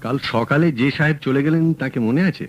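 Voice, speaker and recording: An actor playing a sharp young male private detective in a radio drama, asking brisk, probing questions. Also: mid-range voice, natural voice. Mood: calm, probing. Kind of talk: 1970s radio drama acting. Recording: dull old radio broadcast.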